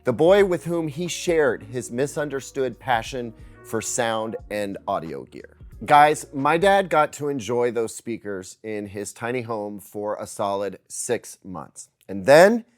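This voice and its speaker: American male reviewer, middle-aged, medium pitch, earnest personal storytelling with lively intonation, light music bed underneath. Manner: earnest, emotive, confiding delivery with dramatic pauses. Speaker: tech and speaker reviewer, video host. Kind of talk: personal scripted storytelling to camera.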